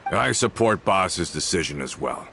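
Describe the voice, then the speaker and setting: A gravelly, formal loyal aide. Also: male voice, low voice, animated, pushed and bright, slight background noise, heavily acted. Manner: formal, measured, proper. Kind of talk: game voice acting.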